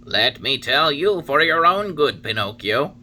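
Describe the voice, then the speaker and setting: A man's voice: a little high, big pitch swings, bright, forceful tone, a little hiss or hum, pitched above the performer's own voice, heavily acted. A man voicing a preachy old cricket. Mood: scolding. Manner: solemn, lecturing, drawn-out warnings. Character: wise old male talking cricket, preachy.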